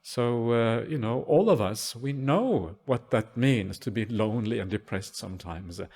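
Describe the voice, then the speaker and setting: A man, a Buddhist monk, answering questions at a meditation retreat in a gentle, halting way with many "uh" fillers. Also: mid-range voice, animated. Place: retreat hall at a forest monastery.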